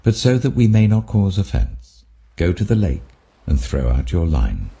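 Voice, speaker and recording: A British man voicing Jesus as an authoritative, earnest teacher, deliberate and emphatic. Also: low voice, sing-song, gravelly, dark tone, breathy edge, heavily acted. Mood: patient, instructive.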